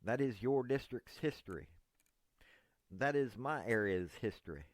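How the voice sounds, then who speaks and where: A man's voice: mid-range voice, lively intonation, a little slow, no echo. A man in his fifties from East Tennessee with a Southern accent, talking straight to camera in a folksy, meandering, earnest way. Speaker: political commentator. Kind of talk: direct-address monologue to a politician.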